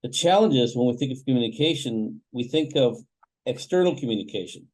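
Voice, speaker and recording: A man from Canada, a family wealth coach, answering interview questions in a reflective, measured way with many fillers. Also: mid-range voice, expressive, a little mellow, low-quality recording.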